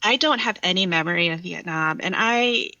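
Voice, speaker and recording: A woman's voice: on the low side, normal speed, sharp tone, no echo, dull audio. A woman from Virginia speaking as a podcast guest in thoughtful, casual conversation. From Virginia, United States. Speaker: podcast guest, poet and scholar.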